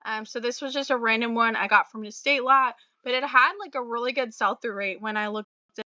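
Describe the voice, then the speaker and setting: A young woman vlogger, slightly raspy from a cold, chatting casually about her resale items with fillers and little laughs. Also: fairly high pitch, fairly quick, bright, forceful tone, dry recording, muffled recording. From Florida. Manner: chatty, friendly, many fillers and small laughs. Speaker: reseller vlogger. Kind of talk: casual talk to camera about sales.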